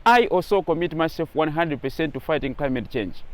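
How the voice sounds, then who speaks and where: A man's voice: fairly high pitch, animated, a little fast. A young man from Malawi, a youth climate activist, presenting in an earnest scripted way. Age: young adult.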